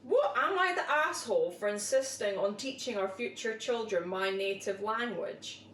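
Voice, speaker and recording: A woman's voice: mid-range voice, animated, moderate pace, a bit bright, reverberant, distant mic, slight background noise, low-quality recording. A woman co-hosting a casual show, reading online posts aloud and chatting about them. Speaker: podcast co-host reading posts.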